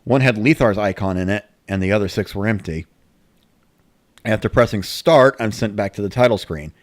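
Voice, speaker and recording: A man's voice: lowish voice, moderate pace, no echo. A man giving a scripted first-person narration, like a diary of playing a video game. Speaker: narrator of a dramatic reading.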